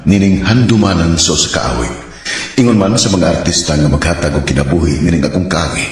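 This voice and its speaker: An actor playing an earnest young man telling his love story in a radio drama. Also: sits low. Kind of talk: Cebuano radio drama acting. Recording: heavily echoing radio drama production.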